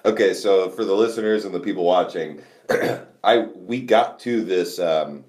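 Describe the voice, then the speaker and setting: A young man co-hosting a podcast, chatting casually, loud and quick to laugh, swearing now and then. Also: low voice. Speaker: podcast co-host. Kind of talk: casual chat.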